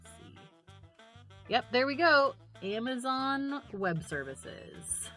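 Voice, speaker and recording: A woman hosting a podcast in casual chat, with an irreverent, sardonic and animated way of talking. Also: high-pitched, takes it slowly, faint music behind. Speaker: podcast host.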